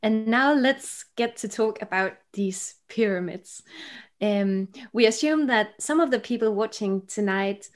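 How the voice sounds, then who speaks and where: A woman in her thirties hosting a webinar conversationally, speaking over a video call from an indoor room. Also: fairly high pitch. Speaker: webinar host. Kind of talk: conversational moderating.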